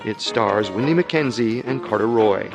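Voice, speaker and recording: A male podcast host giving measured, announcer-like scripted narration. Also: lowish voice, over loud music.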